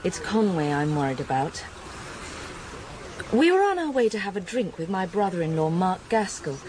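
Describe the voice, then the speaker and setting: Well-bred English woman, lively intonation. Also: on the low side, moderate pace, noisy background. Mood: worried, polite. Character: well-bred widowed daughter-in-law, worried and defensive. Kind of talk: British radio drama acting.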